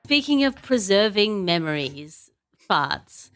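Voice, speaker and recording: A woman's voice: medium pitch, very animated, slow pace, over music. A woman hosting a satirical comedy panel show, speaking in a wry, articulate way and often breaking into laughter. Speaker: podcast host.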